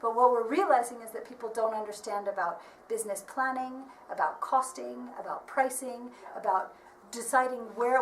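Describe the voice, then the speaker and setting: A woman working as a marketing advisor, explaining things earnestly and steadily in an interview, with frequent ums and restarts. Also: fairly high pitch. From United States. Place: workshop premises. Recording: echoey room, voice from far off, faint background noise.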